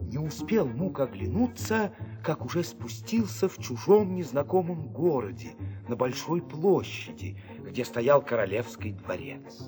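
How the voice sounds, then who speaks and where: Expressive male storyteller over music. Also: medium pitch, unhurried, hardly any pauses. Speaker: fairy tale narrator. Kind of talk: scripted storytelling narration.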